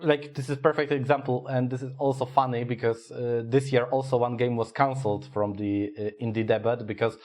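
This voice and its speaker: A man with a Polish accent co-hosting a podcast, speaking English as a second language. He talks casually in a halting way, searching for words with many fillers and swearing freely. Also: mid-range voice, fairly even intonation, darkish tone. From Poland. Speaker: podcast co-host.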